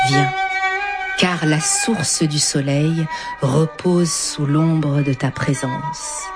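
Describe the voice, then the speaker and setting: Slow, low-voiced woman with a Persian accent. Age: middle-aged. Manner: slow, thoughtful, many hesitations. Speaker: dancer and whirling dervish guest. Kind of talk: radio interview and poetry reading.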